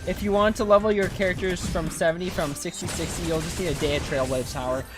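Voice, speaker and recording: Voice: medium pitch, fairly even intonation, quick pace. A streamer giving casual, rambling, easygoing commentary while playing a video game. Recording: game music behind voice. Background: video game music.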